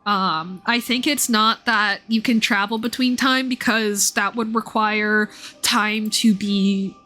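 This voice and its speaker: A woman livestreaming a video game, talking casually and explaining things in a thoughtful, halting way. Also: mid-range voice, fairly even intonation, pushed and bright. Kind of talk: casual game stream commentary and read-aloud. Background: music.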